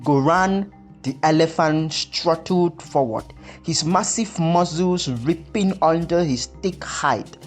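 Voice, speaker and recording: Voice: medium pitch, takes it slowly, soft background music. A storyteller reading a scripted folktale narration.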